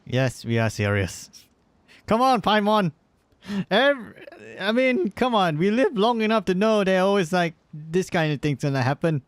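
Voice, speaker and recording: A man giving casual, halting gameplay commentary with wry asides, close to the mic. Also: high-pitched, very animated. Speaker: game livestreamer.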